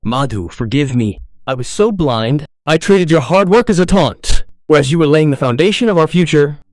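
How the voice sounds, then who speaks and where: A critical young husband in a story drama, performed by a man. His voice is a little high for a man, with a reproachful, serious manner. It is recorded dry, with little room sound. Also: expressive, moderate pace, no echo, lightly acted. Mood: remorseful. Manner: stern, reproachful. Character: demanding, critical young husband, later remorseful.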